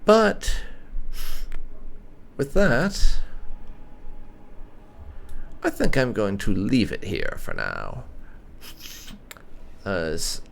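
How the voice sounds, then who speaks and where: A man hosting a gaming let's play, musing aloud in a measured way as he roleplays choices. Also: on the low side, gravelly, a little hiss or hum. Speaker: gaming channel host.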